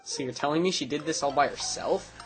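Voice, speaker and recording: A man's voice: on the high side, fairly quick, lightly acted. Wry teenage schoolboy narrator played by a man, music behind. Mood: skeptical. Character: dry, sardonic male high school student narrator. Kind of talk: amateur anime fan dub, wry first-person narration.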